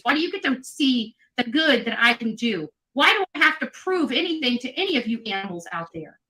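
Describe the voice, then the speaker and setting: Combative, high, sharp-voiced woman ranting. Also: low-quality recording. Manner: combative, profane, defensive. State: tired. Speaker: livestreamer venting at online critics. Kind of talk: angry unscripted livestream rant.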